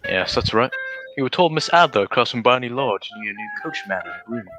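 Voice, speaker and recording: An actor voicing a chummy, inquisitive stable hand, in casual slang for a radio play. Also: male voice, medium pitch, expressive, brisk, music in the background, lightly acted. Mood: chummy, probing. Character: chummy working-class stable hand, a detective in disguise. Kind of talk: 1940s radio drama acting.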